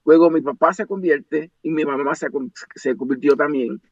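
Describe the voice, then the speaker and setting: An older man in his sixties, a native English speaker, recounts his early years in a measured, reflective way, his voice sitting a touch high for a man. The audio is dull and lo-fi, with a very dry sound and no room around it. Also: no echo. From United States. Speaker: pastor and guest. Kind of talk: interview, personal storytelling.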